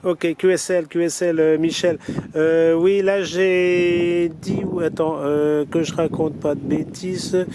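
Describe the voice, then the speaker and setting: A man with a slightly high voice working as an amateur radio operator outdoors. He talks casually in radio procedure, over clearly audible outdoor and vehicle noise. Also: flat delivery, slow. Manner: spells call signs in phonetic alphabet. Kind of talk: ham radio contact, casual demonstration. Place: outdoors, portable station. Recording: noisy outdoor recording, vehicle and urban sounds.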